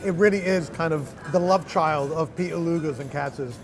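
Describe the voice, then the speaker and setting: A man's voice: on the high side, moderate pace, music in the background. A man hosting a food show, describing dishes as he tastes them with enthusiasm. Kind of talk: food tasting commentary.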